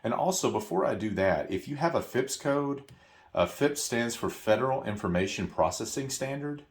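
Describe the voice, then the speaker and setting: A man's voice: mid-range voice, expressive, normal speed. A man presenting an unscripted step-by-step product walkthrough, explaining in a hesitant way and correcting himself as he goes.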